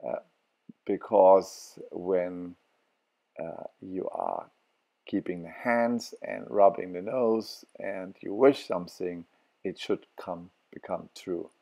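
Slow, deep-voiced man with halting speech. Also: vocal fry, dark tone. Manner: halting, many fillers and restarts. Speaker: travel vlogger. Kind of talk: walking tour narration.

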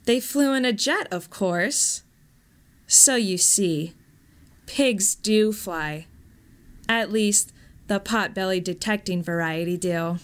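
A woman reading a scripted story in a measured, expressive storytelling manner. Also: mid-range voice, slight background noise. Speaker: story reader, actor.

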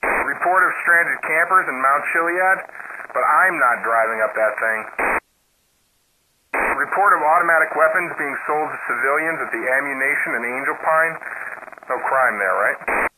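An actor playing a jaded, wisecracking police officer in a video game, calling in codes over a police radio with wry, deadpan asides. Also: male voice, a little high, normal speed. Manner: wry, deadpan asides between clipped code calls. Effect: police radio filter. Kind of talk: police scanner radio calls. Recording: noisy, clicking police radio transmission.

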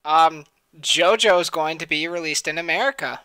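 A co-host on a casual anime podcast who talks in excitable, quick bursts. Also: medium pitch, clean tone, no echo. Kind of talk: casual chat.

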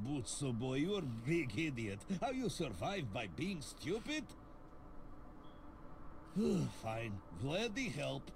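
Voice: medium pitch, big pitch swings, slow pace, pauses often, exaggerated voice. An actor playing a grumpy, rude male creature companion who insults everyone and talks about himself in the third person. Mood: scornful. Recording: game audio with clear background noise.

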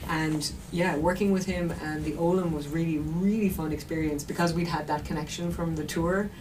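A woman's voice: sits low, steady tone, moderate pace. An Irish woman musician telling stories in an interview with enthusiasm and detail, over a video call. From Ireland. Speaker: musician guest. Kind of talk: interview conversation. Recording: distant mic with background noise.

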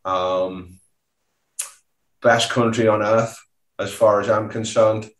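A man tells personal stories as a podcast guest. His voice is low and very even in pitch, picked up on a roomy, echoing video call. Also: slow. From Merseyside, northwest England. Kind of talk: relaxed personal storytelling. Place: indoor living room, seated back from the screen.

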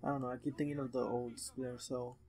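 A man chatting casually over gameplay in a low-key, somewhat hesitant way, as a co-host watching his friend play. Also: flat delivery, fast talker, a little mellow.

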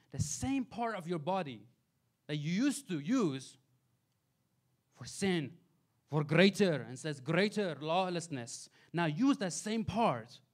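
Pastor preaching with energy. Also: male voice, fairly high pitch. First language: Farsi. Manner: preaching with wide swings between loud emphasis and soft asides. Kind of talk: sermon. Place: small church sanctuary. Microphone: handheld mic.

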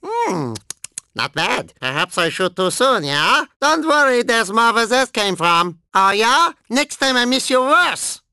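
Casting: deranged cartoon cyborg, German accent, boastful and whiny, bright forceful tone, very animated, for a video game. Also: male voice, extremely high pitch, measured pace, clear, resonant tone, dry recording, clean studio sound, heavily acted. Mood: whiny, dejected. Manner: theatrical, excitable, gleefully silly.

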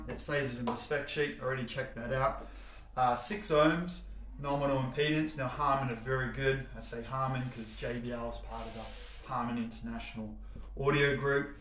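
A man's voice: mid-range voice, fairly bright tone. Echoey man presenting casually. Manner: enthusiastic, informal, explanatory. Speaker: home cinema showroom presenter. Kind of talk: casual product unboxing commentary. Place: showroom warehouse, indoors. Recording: echoey, reverberant indoor room.

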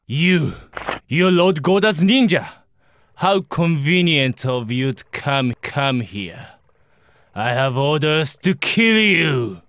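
A cowardly guard in a video game, halting and stammering. His voice is fairly high and swings widely, at a very slow pace. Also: expressive, fairly bright tone. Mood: blustering. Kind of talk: video game cutscene acting.

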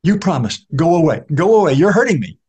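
A man voicing a blind, ragged male spirit in a dark underworld, contemptuous and pained, spluttering and pleading to be left alone. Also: a little high, very animated, brisk, husky, pitched above the performer's own voice.

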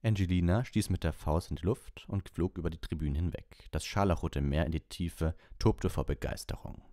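A man's voice: low-pitched, moderate pace, mellow, dry recording, clean studio sound. A man reading a story aloud as an audiobook narrator.

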